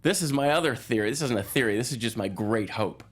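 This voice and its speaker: A man speaking as a guest film critic in casual movie talk, halting and repeating phrases as he thinks aloud. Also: medium pitch, animated.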